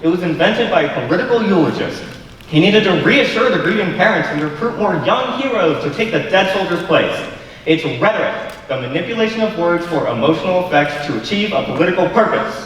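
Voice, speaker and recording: A cynical young poet acted by a man argues deliberately and articulately on stage. His slightly high voice comes from far off in a big echoing auditorium. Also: animated, a bit bright. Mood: defiant, lecturing. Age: young adult. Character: contrary, drunken young male poet, coolly cynical. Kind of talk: high school stage play acting. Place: large school auditorium stage. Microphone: far camera. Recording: distant, echoey auditorium with faint noise.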